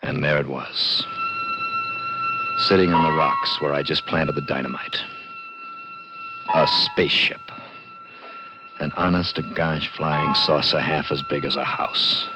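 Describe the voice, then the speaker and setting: A man's voice: a bass voice, heavy background noise, full character voice. An actor playing a plain-spoken American garage mechanic in his thirties, narrating an old radio drama in an urgent, folksy way. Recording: dull old radio recording with music.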